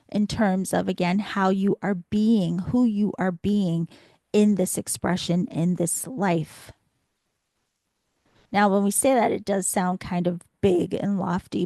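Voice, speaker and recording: Scratchy, low-pitched woman podcaster. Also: creaky, warm tone, no echo. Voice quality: slightly scratchy. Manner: reflective, instructive, posing rhetorical questions. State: slightly hoarse from much talking. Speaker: podcast host and spiritual teacher. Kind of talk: reflective spiritual podcast monologue.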